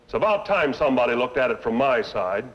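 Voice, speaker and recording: A man's voice: mid-range voice, very animated, fast, low-quality recording. An actor playing an angry male car owner in a vintage training film, blunt and sarcastic as he complains about bad service. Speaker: dissatisfied customer. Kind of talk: 1950s training film acting.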